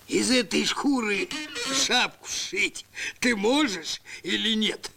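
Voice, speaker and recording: High-pitched greedy cartoon rich man. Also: animated, slow, vocal fry, noisy background, full character voice. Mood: eager, wheedling. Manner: wheedling, pompous, demanding. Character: greedy fat rich man, fussy haggling customer. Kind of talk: 1980s Soviet cartoon voice acting in verse.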